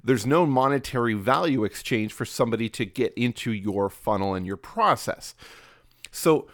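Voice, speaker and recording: A man's voice: mid-range voice, expressive, moderate pace, dry recording, clean studio sound. A man with a cold, sounding slightly nasal, hosts a solo podcast in a casual coaching manner with many fillers and restarts. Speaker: podcast host.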